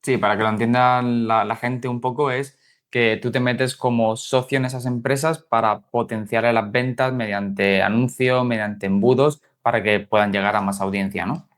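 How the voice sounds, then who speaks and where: A man's voice: medium pitch, steady tone, normal speed, a bit bright. A man interviewing a guest for a podcast in an informal, conversational way, often rephrasing his questions.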